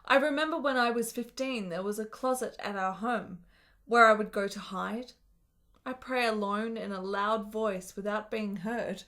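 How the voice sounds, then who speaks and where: A woman's voice: medium pitch, normal speed, fairly bright tone, natural voice. Actress as a wry, ailing, lonely period woman. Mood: wistful, wry. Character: ailing, lonely, sharp-witted Italian woman of the 1870s. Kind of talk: period radio drama acting.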